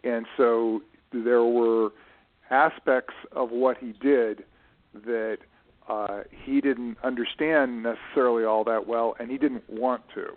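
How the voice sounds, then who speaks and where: A narrow, phone-like sound frames this middle-aged man's mid-pitched voice. He talks as an interview guest in a reflective, conversational way. His wording is measured and precise, with pauses as he finds it. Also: fairly even intonation. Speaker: interview guest, writer and publishing professional. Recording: narrow, muffled phone-like audio.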